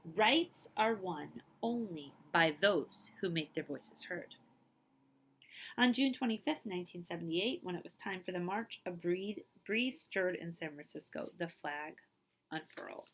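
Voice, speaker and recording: A woman librarian reading a picture book aloud to children, with expressive, emphatic phrasing and casual asides. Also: on the low side, unhurried, slightly rough, low-quality recording.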